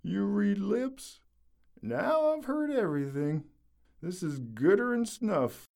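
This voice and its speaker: Gruff folksy teammate, unhurried drawl, mellow tone, swinging pitch, audio drama. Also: male voice, lively intonation, exaggerated voice. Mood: skeptical.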